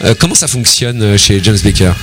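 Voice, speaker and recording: A man's voice: lowish voice, expressive, a little fast. A man interviewing a guest for radio, asking long, winding questions full of fillers. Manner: meandering questions with many fillers. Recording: radio booth with music behind. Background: music.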